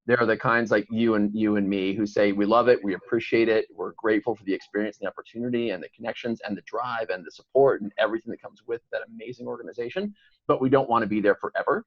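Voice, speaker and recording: A man from Chicago, a guest on an interview podcast, talking quickly and rambling. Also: fairly low pitch, fairly even intonation, no room sound, lo-fi sound. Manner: fast, rambling, many fillers and restarts. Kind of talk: casual interview conversation.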